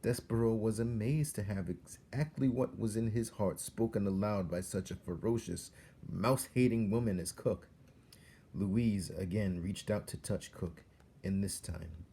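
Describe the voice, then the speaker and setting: A man's voice: on the low side, warm tone, slight background noise. A man reading a storybook aloud as a dramatic narrator. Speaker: storybook reader.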